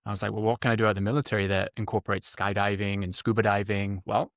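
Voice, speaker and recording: A middle-aged man from the UK answering interview questions conversationally, explaining things with frequent pauses. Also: fairly low pitch, flat delivery, no room sound, clean studio sound. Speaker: guest, former Army Ranger medic.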